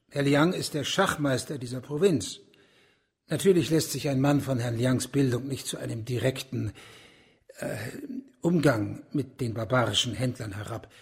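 A man playing a deferential high official in a radio crime drama, speaking careful, courtly with a gravelly voice. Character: deferential high official, a provincial governor reporting upward.

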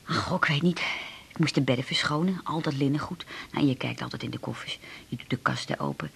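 A woman's voice: rumbling low, animated, fairly quick, vocal fry, a bit bright, slightly rough, heavily acted. A woman playing a chatty, down-to-earth working-class former chambermaid in a radio drama, telling stories in an earthy, effusive, rambling way. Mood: nostalgic, chatty.

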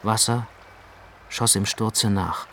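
A man narrating a literary radio play from a script in a measured, precise way. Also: on the low side, fairly even intonation, sharp tone, slight background noise. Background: music.

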